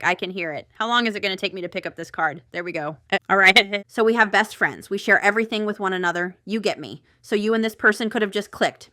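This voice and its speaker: Chatty lady doing tarot, bright crisp tone, really dry close sound, rambles off on asides. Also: medium pitch, no room sound. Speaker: tarot reader and channel host. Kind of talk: casual intuitive tarot reading, talking to the viewer.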